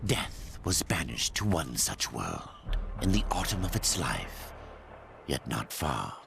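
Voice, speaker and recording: An actor playing a solemn, ominous storyteller, narrating a dark fantasy game in a gravelly, portentous voice. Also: male voice, a bass voice, big pitch swings, slow, noisy background, heavily acted. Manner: portentous, dramatic. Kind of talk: scripted narration.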